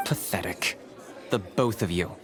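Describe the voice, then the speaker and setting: A man's voice: low voice, a little slow. A man playing a cool, composed warrior in a video game, curt, stern and matter-of-fact. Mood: contemptuous. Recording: game music and crowd noise behind.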